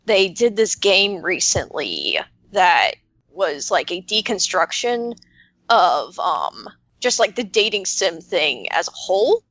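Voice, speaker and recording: A woman's voice: on the low side, sing-song, slow pace, a bit bright, dry recording. A woman chatting casually as a podcast panelist, telling things in a hesitant, filler-heavy way. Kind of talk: casual roundtable chat.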